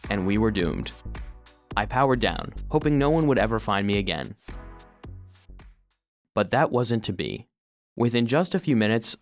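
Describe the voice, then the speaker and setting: Downcast robot vacuum, lowish voice, wry storytelling, children's audio drama, music behind. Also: male voice. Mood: dejected. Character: gloomy, self-deprecating male robot vacuum cleaner telling his story.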